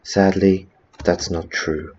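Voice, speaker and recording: A man's voice: low-pitched, flat delivery, slow pace, a little mellow. A man voicing a mysterious, whimsical river ferry spirit in a video game fan dub, sing-song and wistful. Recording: dull lo-fi audio with faint noise.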